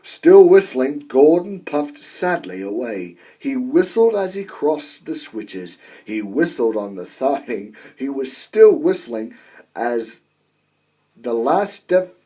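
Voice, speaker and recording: A man narrating a children's story aloud in an expressive storytelling style. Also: medium pitch, breathy edge. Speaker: amateur story reader.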